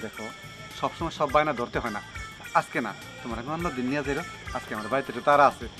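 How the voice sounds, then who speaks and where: A man's voice: medium pitch, racing, lightly acted. A man playing a nervous, placating married villager in a comedy drama, with a Bangladeshi accent. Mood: worried. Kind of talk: Bengali web comedy drama acting. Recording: clear music under the voice.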